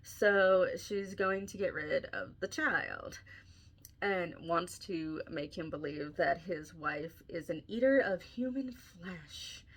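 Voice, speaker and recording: A woman hosting a podcast casually retells a fairy tale in an informal way, adding wry asides as she goes. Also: mid-range voice. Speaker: podcast host and author.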